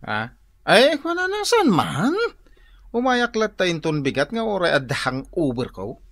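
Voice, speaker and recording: A shiftless, hard-drinking workman in an Ilocano radio drama. He wheedles and gets worked up, his pitch sliding widely up and down. He has an Ilocano accent. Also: wildly swooping pitch, unhurried, fairly bright tone, exaggerated voice. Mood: nagging, wheedling.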